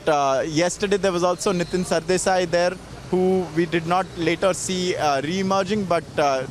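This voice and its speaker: A man's voice: high voice, a little hiss or hum. A man with an Indian accent giving a live on-location news report in a formal, wordy way with frequent hesitations. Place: outdoors on a city street.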